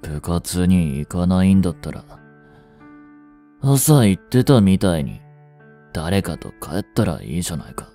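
Male actor as a deep-voiced, slow, dismissive teen boyfriend for a romance audio drama. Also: sing-song, dark tone, faint music behind, full character voice. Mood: feverish, curt. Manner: curt, dismissive, low-voiced. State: feverish and weak. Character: cool aloof teenage boyfriend, blunt and proud.

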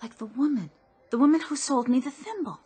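An actress playing a troubled, bewildered female customer in a radio drama, speaking haltingly as if unsure of her own mind. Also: high voice, animated, warm tone, husky, full character voice. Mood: uneasy, searching.

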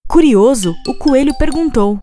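Lady narrator reading a kids fable, slightly high voice, music playing behind her. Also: lively intonation, normal speed, warm tone. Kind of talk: scripted children's storybook narration.

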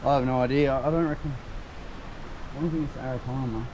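Excited man filming his fishing trip. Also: mid-range voice, a little fast, muffled recording. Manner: excited, swearing, laid-back banter. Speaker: angler filming a fishing vlog. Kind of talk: casual chat while fishing. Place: lakeside fishing spot outdoors. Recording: outdoor camera audio with background noise.

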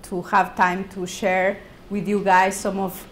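A woman's voice: medium pitch, little pitch movement, fairly bright tone. Woman lecturing in echoey room. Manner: halting, repeats words, laughs easily. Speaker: guest speaker, professor. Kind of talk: academic lecture. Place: university classroom. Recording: echoey classroom, background hiss.

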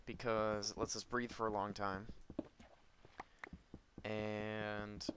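A young man casually commenting on his own gameplay, talking himself through each move, his voice a little hoarse and cracking, with sudden yelps when he gets hurt. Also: lowish voice, completely flat delivery, slow pace, noisy background. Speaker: Minecraft let's player.

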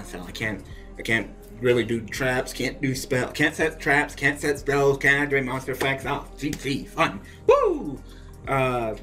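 A man's voice: mid-range voice, a bit bright. A young man venting over a card game he just played, swearing and laughing in exasperation as he comments. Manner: ranting, profane, laughing in exasperation.

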